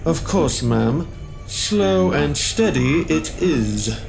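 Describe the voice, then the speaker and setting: Man playing a very creaky, dutiful alien officer. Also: on the high side, lively intonation, extremely slow, a little mellow, full character voice. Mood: dutiful, formal. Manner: deferential, formal military reporting. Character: dutiful male alien officer reporting to his general. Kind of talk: audio drama acting. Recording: music behind the voice. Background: music.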